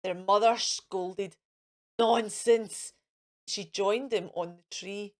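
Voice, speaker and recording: A woman's voice: mid-range voice, fairly even intonation, slow pace. A woman hosting a children's storytime, reading a picture book aloud in a theatrical, sing-song way and speaking directly to the children.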